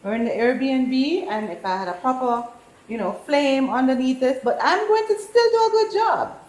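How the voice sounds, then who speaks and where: Opinionated woman cook with a New York-Jamaican accent, slightly high voice, echoey room. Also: lively intonation, normal speed, darkish tone. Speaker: cooking competitor. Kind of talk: casual chat while cooking. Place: rental apartment kitchen.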